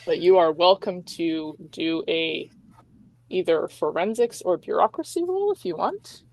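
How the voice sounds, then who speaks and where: A woman game master narrating a tabletop roleplaying game in a halting, informal, explanatory way. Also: fairly low pitch, animated, a little mellow, no room sound, low-quality recording.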